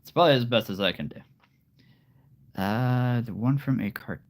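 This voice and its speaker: A man with a very deep voice chatting casually on a live stream, relaxed and rambling. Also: unhurried. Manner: relaxed, rambling, laughs often. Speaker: live streamer and hobby voice actor.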